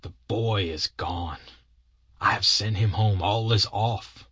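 A man's voice: on the low side, slow, a bit bright, slightly rough, no room sound, exaggerated voice. A man voicing a harried, aggrieved rustic crook with pompous yet folksy speech in an audiobook. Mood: weary, aggrieved. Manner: aggrieved, mock-grandiose wording, folksy grammar. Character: harried, put-upon grown man, small-time rustic crook. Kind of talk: audiobook character voice.

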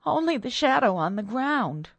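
A woman's voice: medium pitch, lively intonation, drawn-out words, a little mellow, breathy edge, no echo, voice pushed up, full character voice. A woman narrator voicing a terrified middle-aged country maid in a storybook, faint, gasping and hesitant. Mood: horrified, faint.

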